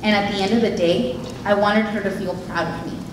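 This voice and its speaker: A woman's voice: mid-range voice, fairly even intonation, a little fast, background noise. A young woman whose first language is Spanish, giving a heartfelt prepared keynote speech at an event. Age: late teens. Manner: earnest, heartfelt, reading from notes. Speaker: keynote speaker. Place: event hall. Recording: echoey hall recorded from a distance.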